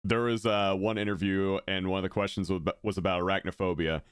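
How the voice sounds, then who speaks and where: Male streamer, casual chatty commentary, smooth clear voice, dry close sound. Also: low-pitched, quick pace, no echo. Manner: excitable, chatty, many fillers. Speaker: streamer reacting to videos.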